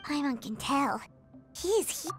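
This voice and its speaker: A woman voicing a tiny, excitable childlike fairy companion in a video game, with a squeaky voice and exclamatory manner. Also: a little slow. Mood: eager. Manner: refers to self in third person, exclamatory. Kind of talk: video game character acting. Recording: game music behind.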